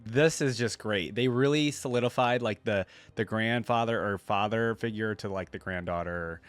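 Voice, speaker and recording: A man's voice: fairly low pitch, normal speed, clear, resonant tone, dry recording. A man giving casual, slangy reaction commentary on game voice lines. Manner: slangy, says bro and dude. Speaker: YouTube reactor. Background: game voice lines and faint music.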